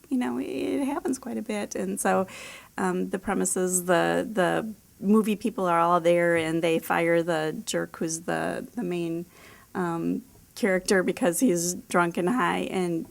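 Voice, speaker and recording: A woman's voice: lowish voice, steady tone, a little slow, gravelly. A woman author talking as a guest in a casual interview, explaining things with the odd laugh in her speech.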